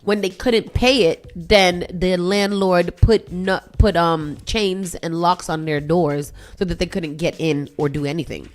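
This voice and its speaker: A woman's voice: on the low side, unhurried, few pauses. Blunt opinionated woman co-host. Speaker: radio co-host. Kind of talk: casual morning radio chat.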